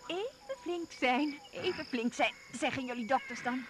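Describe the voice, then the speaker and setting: Actress as a teasing, coquettish young nurse. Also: female voice, high-pitched, moderate pace, close to an everyday voice. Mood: teasing, brisk. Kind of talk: radio drama acting. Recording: very noisy old radio recording.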